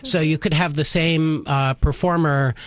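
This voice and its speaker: A man talking as an interview guest, explaining in a halting, hesitant way with many pauses. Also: a little high, fairly even intonation, no room sound. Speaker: radio play director, interview guest. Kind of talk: casual explanatory interview talk. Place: college radio studio.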